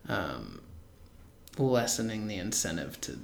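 A man's voice: on the low side, sing-song, slow, creaky, slight background noise. A young man co-hosting a podcast in casual chat, laid-back and matter-of-fact with dry quips. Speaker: podcast co-host. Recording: roomy echo.

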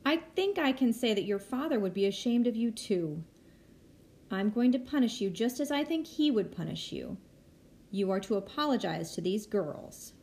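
A woman's voice: fairly high pitch, lively intonation, normal speed, darkish tone. A woman playing a stern, concerned mother in an amateur audio play. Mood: stern, disappointed. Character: stern, concerned American mother, firm disciplinarian.

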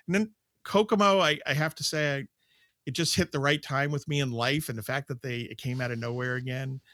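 A man's voice: medium pitch, fairly even intonation, fairly quick, bright, forceful tone, dry recording. A man in his late fifties chatting casually as a podcast guest, reminiscing and telling anecdotes. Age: late 50s.

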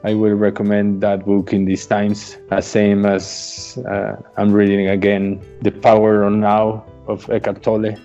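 A man from Mexico whose first language is Spanish, speaking English in a relaxed, reflective conversation with frequent pauses and fillers. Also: fairly low pitch, muffled recording, faint music behind. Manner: hesitant, many uh fillers, reflective. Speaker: guest. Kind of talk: casual remote conversation.

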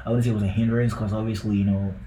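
A man's voice: fairly low pitch, little pitch movement, very fast, roomy sound, background noise. A young man from Ghana with a Ghanaian accent, talking loosely on a podcast and filling his talk with likes and bros. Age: young adult. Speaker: podcast co-host. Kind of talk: casual podcast chat.

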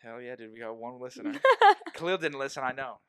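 A man's voice: medium pitch, fast talker, a bit bright, smooth. A young man hosting a podcast in casual chat, rambling and joking, often breaking off mid-sentence. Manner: rambling, self-interrupting, joking.

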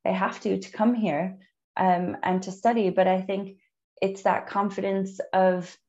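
A woman who is a native English speaker, hosting a conversational interview in a measured, encouraging way with frequent ums. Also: mid-range voice, level tone, a little mellow, dull audio. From outside the UK. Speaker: podcast host.

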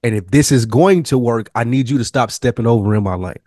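A man's voice: mid-range voice, quick pace, mellow, no room sound, studio quality. A man co-hosting a casual podcast, asking questions in a playful way. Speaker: podcast co-host. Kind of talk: casual chat.

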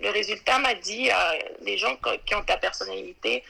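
A woman's voice: medium pitch, fairly quick, creaky, bright, forceful tone, breathy edge, rough recording. A woman from Ivory Coast, a guest on an interview podcast, talking in a hesitant way with many fillers and restarts. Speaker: podcast guest, web designer. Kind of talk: casual interview. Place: New York.

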